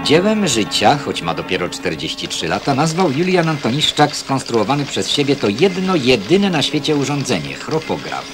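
A man narrates an old film newsreel in a wry, scripted style. His mid-pitched voice moves in lively swings, with clear music playing behind him. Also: normal speed, few pauses.

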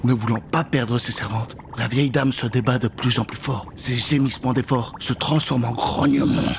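A man's voice: mid-range voice, fairly even intonation, moderate pace, vocal fry, noisy background. A man in his thirties telling a children's story dramatically into a close studio microphone. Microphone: close studio microphone with pop filter.

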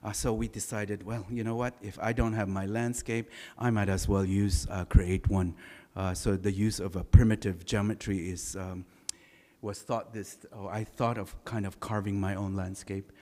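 A middle-aged man with a baritone voice giving a relaxed, anecdotal architecture lecture, often pausing on filler sounds. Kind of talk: conversational design lecture. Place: large lecture hall. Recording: reverberant lecture hall.

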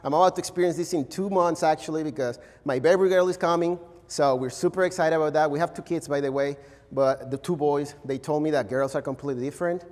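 A man's voice: on the high side, fast, darkish tone. A man in his thirties from Venezuela with a Spanish accent, preaching a sermon in a casual, chatty way and often adding "right?". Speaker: guest preacher. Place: large church sanctuary. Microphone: podium mic, standing at pulpit. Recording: long reverb of a large church hall.

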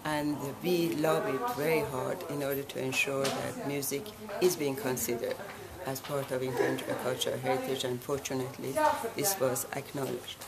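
A woman in her sixties from Iran gives formal interview answers in a flat, even voice. She speaks in a measured way, pausing often between phrases. A murmur of a crowd sits behind her close webcam sound. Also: a bass voice, vocal fry. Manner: measured, deliberate, with frequent pauses and fillers. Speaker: guest, association president.

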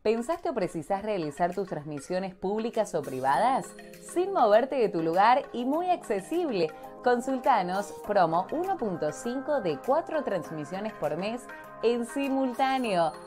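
A woman's voice: medium pitch, very animated, measured pace. A woman with an Argentine accent reading a scripted advert as an announcer. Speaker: promo announcer. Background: music.

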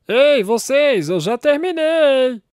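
Youngest piglet brother, played by a woman: high, boyish, childlike cartoon voice, playful. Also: lively intonation, takes it slowly, darkish tone. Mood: carefree. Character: youngest little pig, carefree playful boy.

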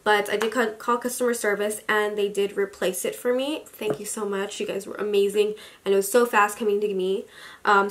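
A young woman in her twenties chatting about makeup, gushing and chatty, full of likes and excited exclamations, filmed in a small room at her vanity. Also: medium pitch. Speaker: beauty vlogger. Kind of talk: casual makeup haul chat. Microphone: camera at desk distance.

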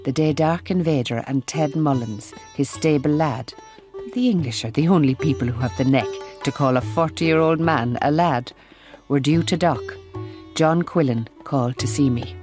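A shrewd, devout Irish Mother Superior, played by a woman in a radio drama. Her voice sits very low, and she speaks with gentle firmness and quiet conviction. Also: moderate pace, faint music behind, close to an everyday voice. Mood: reflective. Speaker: narrator and character actress. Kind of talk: radio drama narration and acting.

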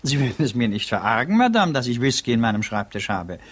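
A courteous, urbane state prosecutor. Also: male voice, medium pitch, big pitch swings, quick pace. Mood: exasperated. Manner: polite, formal, explanatory. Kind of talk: 1950s German radio play acting.

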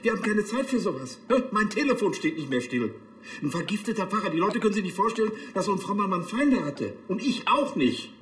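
High-pitched flustered police chief. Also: male voice, big pitch swings, fairly quick, a bit bright, roomy sound. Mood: exasperated, incredulous. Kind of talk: television crime comedy acting.

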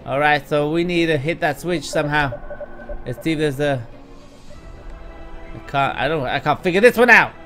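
Man, let's play commentary, bright forceful voice, faint game music behind, cursing at the screen. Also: fairly high pitch, animated, normal speed, pauses often. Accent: put-on Texas drawl. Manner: swears freely, talks to viewers, bursts of frustration. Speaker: gameplay streamer.

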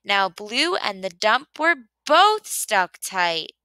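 A woman reading a children's picture book aloud expressively, with deliberate, rhythmic delivery of the rhymes. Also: mid-range voice, sing-song, pushed and bright, no room sound. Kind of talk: expressive storybook read-aloud.

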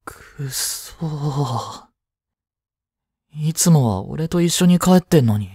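A man acts out a high school boy in a romantic audio drama, the childhood friend with a jealous streak. He is blunt and casual but easily flustered. His delivery takes its time, with a very mobile pitch, in a close, dry recording. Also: animated, slow, warm tone, husky, dry recording, full character voice. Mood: jealous, irritated. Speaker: voice drama actor. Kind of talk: Japanese audio drama acting for women listeners.

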